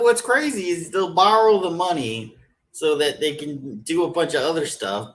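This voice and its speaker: A man co-hosting a podcast, calmly walking through his views on spending and health insurance in a casual discussion. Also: medium pitch, a little hiss or hum, rough recording. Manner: explains at length, methodical. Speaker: podcast co-host.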